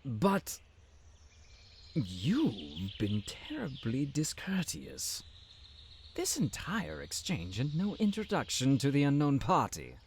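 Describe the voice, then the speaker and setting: Snooty slimy town advisor in a fantasy audio rp, drags words out, pitch all over, bit of background noise. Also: male voice, huge pitch swings, exaggerated voice. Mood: mock-polite. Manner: haughty, drawn-out, mock-polite. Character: pompous, conniving male town advisor, smug and easily enraged. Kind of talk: fantasy audio roleplay acting.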